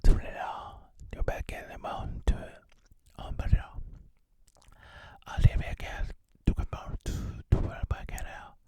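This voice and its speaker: An ASMR host speaking in a breathy whisper, with repeated nonsense syllables and mouth sounds, inside a car. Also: a little slow.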